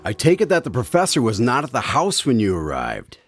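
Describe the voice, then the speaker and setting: A man's voice: medium pitch, wildly swooping pitch, brisk. A man hosting a radio drama show in a scripted presenter's style. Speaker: host. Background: plucked guitar music.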